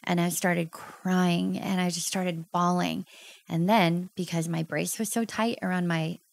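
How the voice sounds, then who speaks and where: Gravelly-voiced woman in her thirties, heartfelt. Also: lowish voice, fairly even intonation, unhurried, dry recording. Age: 30s. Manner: earnest, heartfelt storytelling with emotional emphasis. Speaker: podcast guest. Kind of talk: candid personal interview.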